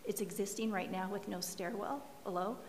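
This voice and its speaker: Brief: hoarse woman applicant, earnest and explanatory, in a reverberant council chamber. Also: mid-range voice, fairly even intonation, moderate pace, darkish tone. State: losing her voice. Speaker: applicant's designer presenting to a planning commission. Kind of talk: formal public meeting presentation. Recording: reverberant meeting room, faint hiss.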